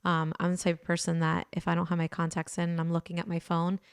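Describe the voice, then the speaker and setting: Chatty low-voiced woman podcast host. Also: monotone, fast talker, smooth, no room sound, studio quality. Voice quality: deeper voice in the evening. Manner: chatty, joking, laughs often. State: tired late in the day. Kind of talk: casual chat.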